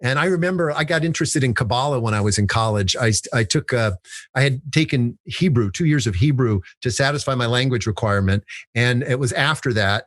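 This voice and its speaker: A man's voice: medium pitch, no room sound, clean studio sound. A man co-hosting a casual podcast, talking through ideas in a thoughtful way and using made-up examples. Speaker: podcast co-host.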